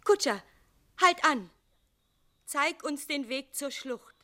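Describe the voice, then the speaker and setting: An actress playing a young, dreamy lost bride in a German radio drama, lyrical and often near a whisper. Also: female voice, high-pitched, expressive, takes it slowly, bright, forceful tone, full character voice. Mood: urgent.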